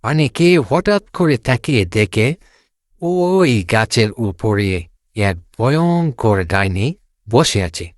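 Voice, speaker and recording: A man's voice: medium pitch, expressive, slow pace, dry recording, clean studio sound. A man narrating a fairy tale in a simple, unpolished storytelling way, repeating phrases as he goes.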